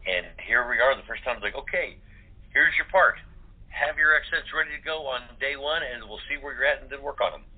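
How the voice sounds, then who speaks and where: A man's voice: mid-range voice, brisk, slightly rough. A man speaking casually as a voice-actor guest in an interview, heard over a thin phone-like line. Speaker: radio-play cast member, interview guest.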